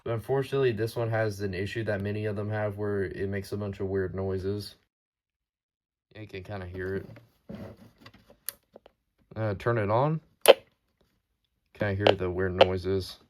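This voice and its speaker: A man's voice: on the low side, steady tone, a little slow, pauses often, a little mellow. A man giving a casual, off-the-cuff show-and-tell narration as the host of a collection video. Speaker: host showing a weather radio collection.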